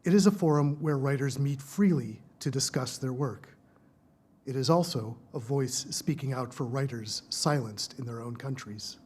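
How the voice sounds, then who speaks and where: A man at a podium in a library lecture hall, reading out formal introductions for an evening talk. His voice sits at an ordinary middle pitch, and he keeps a measured, prepared delivery throughout. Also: darkish tone, husky. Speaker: event host introducing speakers.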